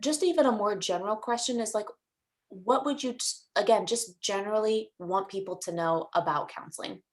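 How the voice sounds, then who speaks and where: A woman's voice: mid-range voice, brisk. A woman interviewing a colleague, asking friendly, rambling questions with many fillers. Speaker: interviewer, fellow counselor.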